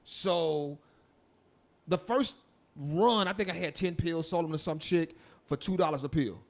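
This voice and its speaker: A man's voice: on the high side, a little slow. Laid-back, swearing podcast co-host man. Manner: laid-back, laughing, swearing, acts out quoted voices. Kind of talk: casual chat, storytelling.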